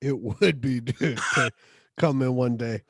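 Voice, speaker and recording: A man in his twenties with an American accent co-hosts a podcast in a loose, slangy, buddy-like way. His voice sits at a middle pitch. The sound is very dry, with hardly any room to it. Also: unhurried, fairly bright tone, breathy edge, dry recording. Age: 20s. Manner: slangy, buddy-like, addressing others as bro and dude. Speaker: podcast co-host. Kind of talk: casual banter.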